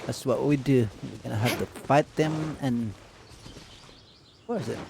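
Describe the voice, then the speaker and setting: A man's voice: medium pitch, a little slow, creaky, background noise. Casual streamer man muttering over gameplay. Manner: casual, offhand, muttering to himself. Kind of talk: casual live commentary while playing. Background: game music.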